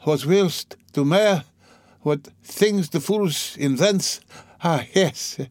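A man voicing a fretful dying woman, raised pitch. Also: slow pace, a little mellow, dry recording, studio quality. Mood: wistful delirium. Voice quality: faint, gasping. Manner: rambling, fretful, dramatic. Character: dying, delirious, bitter sick mother.

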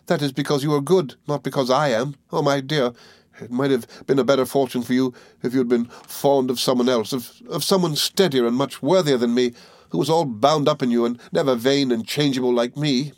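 A man's voice: mid-range voice, steady tone, brisk, dry recording, voice pushed up, natural voice. A man voicing a tearful young woman in a storybook, agonised, repetitive and full of self-reproach as she pleads. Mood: guilty anguish.

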